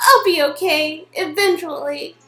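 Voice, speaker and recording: A woman's voice: sits high, unhurried, a bit bright, reverberant, soft background music, voice pushed up. A woman voicing a heartbroken, dramatic and clingy young woman in a dialogue performance of an interactive game. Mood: sad, wistful.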